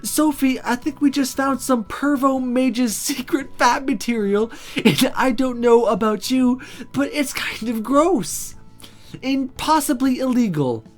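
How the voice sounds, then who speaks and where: A woman's voice: sits high, a little slow, pitched above the performer's own voice. A woman voicing a prudish, easily flustered young female magic student in a visual novel, protesting in exasperation. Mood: disgusted.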